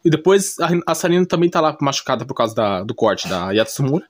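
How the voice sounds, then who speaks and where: A man's voice: mid-range voice, very animated, quick pace, dry recording, clean studio sound. A man with a Brazilian accent casually retelling an anime story off the cuff, rambling and slangy, often correcting himself. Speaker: YouTube anime recapper.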